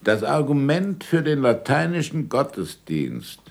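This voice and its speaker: An actor playing an older, sardonic and imperious scholar in a German radio drama, dictating deliberately with irony and curt commands. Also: male voice, mid-range voice, sing-song, creaky. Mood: dictating, ironic.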